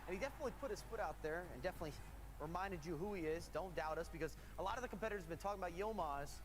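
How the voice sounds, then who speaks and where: A man calling esports play-by-play with excitable, punchy calls. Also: high-pitched, rapid-fire, low-quality recording. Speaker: esports commentator. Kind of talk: play-by-play commentary.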